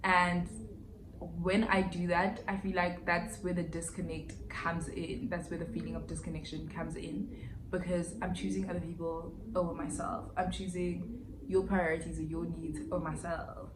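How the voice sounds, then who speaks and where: A woman's voice: fairly low pitch, little pitch movement, moderate pace. A woman, chatting candidly and reflectively through a casual life update vlog, with slangy asides. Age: 20s. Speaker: vlogger. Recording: echoey room with faint background hiss.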